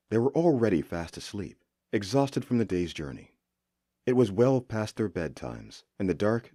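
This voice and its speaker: Very deep male horror story narrator. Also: dry recording, clean studio sound. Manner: suspenseful, expressive delivery. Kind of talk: scripted dramatic narration.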